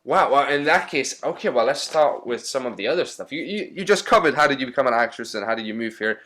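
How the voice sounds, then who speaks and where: A man hosting a podcast who talks in a relaxed, encouraging way and thinks out loud while asking questions. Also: medium pitch, fast talker. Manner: chatty, encouraging, thinks aloud. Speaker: podcast host. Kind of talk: casual interview chat.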